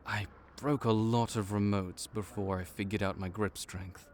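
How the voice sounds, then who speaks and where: A man's voice: lowish voice, fairly even intonation, moderate pace, darkish tone, a little hiss or hum, lightly acted. A man voicing a male vampire intake officer in an audio roleplay, matter-of-fact and wry as he walks through the paperwork.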